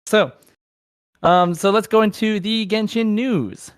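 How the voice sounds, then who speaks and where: A man's voice: high voice, takes it slowly, slight background noise. A man hosting a gaming podcast, chatting casually and informally with a fan's enthusiasm. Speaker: podcast host.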